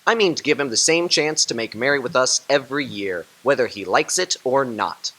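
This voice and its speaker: A jovial, good-humoured young nephew holds court at a party, as an actor plays him in a radio drama. His voice swings lively up and down with a bright ring. He speaks warmly and laughs easily. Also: mid-range voice, fairly quick, poor audio. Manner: hearty, laughing, warm-hearted. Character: jolly young man Fred, Scrooge's nephew.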